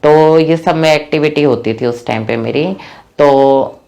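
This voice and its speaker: A woman's voice: deep voice, a little slow, creaky, mellow. A young adult woman from Haryana, telling her life story in a plain, earnest way with many fillers. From Rohtak, Haryana, India. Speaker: interviewee. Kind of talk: personal life story told to camera.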